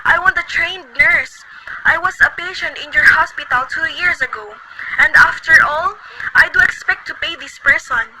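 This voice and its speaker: A woman playing a demanding, panicked invalid woman in an amateur radio play cover, her voice shrill. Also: normal speed, background noise. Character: frantic bedridden wealthy woman.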